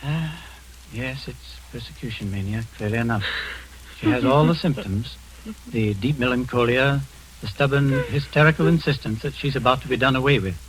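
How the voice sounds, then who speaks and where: An actor playing a composed middle-aged man posing as a doctor, speaking with calm, measured, clinical authority in a vintage radio drama. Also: mid-range voice, a little hiss or hum, natural voice, rough recording. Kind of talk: 1940s radio acting.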